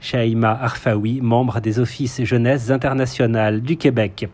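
A man's voice: mid-range voice, steady tone, measured pace, darkish tone. A man working as a reporter, putting interview questions and linking between guests in a journalistic style. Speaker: radio or TV reporter.

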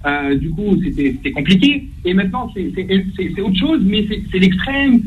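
Caller, male, 30s, from Algeria, hesitant and halting over faint line noise. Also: high-pitched, animated, phone-quality sound. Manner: hesitant, halting, repeats words often. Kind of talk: call-in to a radio show. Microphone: phone line.

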